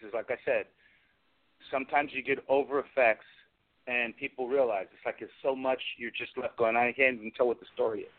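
A man chatting casually as a guest in a radio interview, rambling through his opinions. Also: mid-range voice. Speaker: guest, film special effects artist. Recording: band-limited phone-like radio sound.

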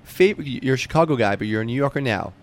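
Male podcast host, mid-pitched, rapid, informal and emphatic chat. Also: expressive. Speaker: interview host. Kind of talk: casual sports-fan podcast chat.

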